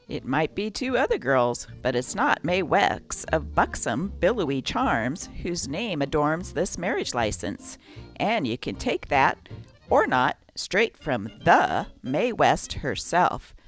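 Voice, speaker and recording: A woman's voice: deep voice, very animated, slow, soft background music. A woman narrating from a script as host, reading an old newspaper aloud.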